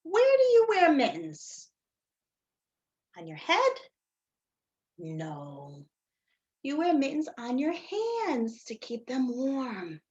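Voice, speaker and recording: High-voiced woman reading slowly to children. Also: wildly swooping pitch, a little mellow, dull audio. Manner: sing-song, asks children questions with pauses for answers; simple, deliberate phrasing for small children. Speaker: teacher reading a picture book to young children. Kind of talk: children's story time read-aloud.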